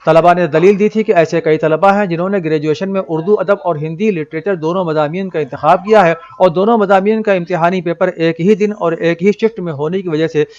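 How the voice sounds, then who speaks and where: A man reading a scripted news bulletin in a formal, measured manner. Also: sits high, a little mellow, highs cut off.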